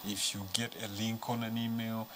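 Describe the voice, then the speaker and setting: A man's voice: on the low side, monotone, moderate pace, background noise. A man, a studio guest, giving earnest advice. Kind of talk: studio discussion.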